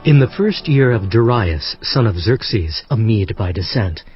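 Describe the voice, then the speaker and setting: An actor playing an earnest, solemn prophet, narrating dramatized scripture expressively. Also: male voice, lowish voice, animated, measured pace, husky, full character voice. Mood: penitent, earnest. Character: prophet Daniel, earnest solemn man recounting visions. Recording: dull audio under background music.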